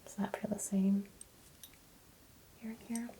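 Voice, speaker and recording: A woman role-playing a medical examiner in soft-spoken ASMR, murmuring gentle instructions and often slipping into whispers. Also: medium pitch, little pitch movement, a little slow, slight background noise, rough recording.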